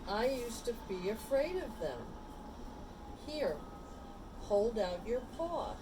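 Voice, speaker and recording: A kind male dog owner in a picture book, voiced by a woman reader, speaks in a raised, coaxing voice. He gives reassuring advice, with clear noise in the background. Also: a little high, sing-song, slow pace. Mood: encouraging.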